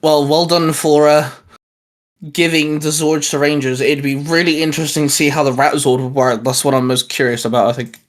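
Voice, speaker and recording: Higher-pitched man chatting casually. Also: fairly even intonation, fairly quick. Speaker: co-host of a hobby video channel. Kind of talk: casual geeky chat.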